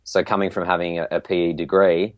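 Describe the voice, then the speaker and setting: A man's voice: low voice, flat delivery, brisk, no echo, dull audio. An Australian man from Tasmania chatting casually as an interview guest, telling his story in a hesitant, reflective way. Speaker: podcast guest, PE teacher.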